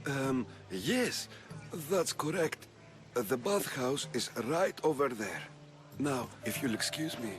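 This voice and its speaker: An elderly, devout Greek priest in a video game drama. He has a mid-pitched voice and speaks like a reverent storyteller who is careful about what he reveals. Prominent music plays underneath. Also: expressive, takes it slowly. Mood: nervous, discreet. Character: elderly Greek temple priest, devout, cautious, fond of old tales. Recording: music playing behind the voice.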